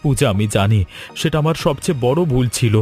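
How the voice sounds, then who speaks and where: A man's voice: medium pitch, fairly quick, a little mellow, soft background music, natural voice. A man voicing a remorseful, pleading young husband in a family cartoon drama, speaking earnestly.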